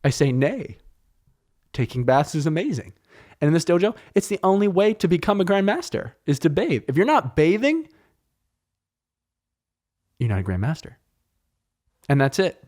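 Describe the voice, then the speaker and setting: A man's voice: a little high, big pitch swings, normal speed, pauses often, darkish tone. A man hosting a solo podcast, telling meandering, profane stories in a joking, casual way, with French as his first language. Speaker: podcast host. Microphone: close studio mic.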